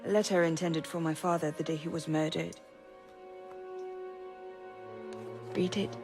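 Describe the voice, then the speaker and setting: A woman's voice: sits low, fairly even intonation, fairly quick, music in the background. A young woman playing a bitter, grieving young noblewoman in a dramatic game cutscene, guarded and coldly accusing.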